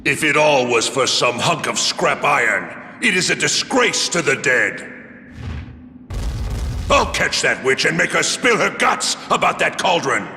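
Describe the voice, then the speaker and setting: A forceful, booming-hall warrior king. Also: sing-song, unhurried, exaggerated voice. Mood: outraged. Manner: commanding, formal, forceful. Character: stern warrior king and father, wrathful, imperious. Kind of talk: dramatic video game acting. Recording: echoey hall reverb, music behind.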